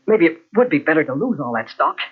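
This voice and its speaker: An actor playing an anxious, weak-willed gentleman, flustered and exclamatory, in an old-time radio mystery. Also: a little high, very animated, quick pace, husky. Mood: wavering. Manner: flustered, exclamatory, talking to himself. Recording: dull lo-fi old radio cassette recording.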